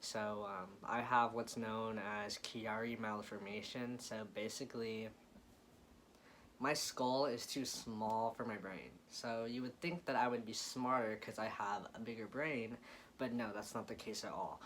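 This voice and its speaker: A man's voice: lowish voice, steady tone, a little slow, a bit bright, a little hiss or hum. A young adult American man chatting casually and informally to viewers as a vlogger, often repeating things for emphasis. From Florida, United States.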